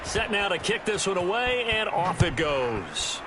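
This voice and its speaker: A man's voice: high voice, sing-song, unhurried. A man playing a polished American play-by-play announcer in a football video game. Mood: announcing. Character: polished male football play-by-play announcer, excitable on big plays. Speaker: play-by-play commentator. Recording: loud game noise behind voice.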